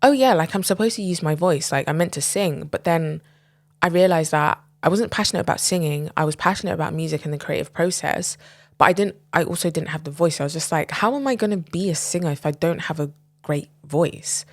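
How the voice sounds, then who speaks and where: A woman's voice: low-pitched, brisk, no room sound. A young woman in her twenties hosting a podcast, talking openly in a loose, chatty way with many "like"s and "um"s. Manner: chatty, lots of "like" and "um". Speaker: podcast host. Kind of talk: casual confessional monologue.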